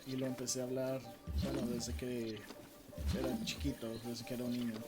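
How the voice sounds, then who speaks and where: A man's voice: mid-range voice, little pitch movement, fast. Man chatting over game music. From Mexico. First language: Spanish. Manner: chatty, reads out viewer comments, frequent fillers and restarts. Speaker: live streamer and channel moderator. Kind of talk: casual live-stream chat while playing a game. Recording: game music playing loudly underneath.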